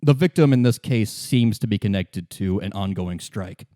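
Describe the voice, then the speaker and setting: A man's voice: on the low side, animated, moderate pace, dark tone, dry recording. Casual male game master, dry sound. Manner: informal, explanatory. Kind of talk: improvised tabletop narration, casual chat.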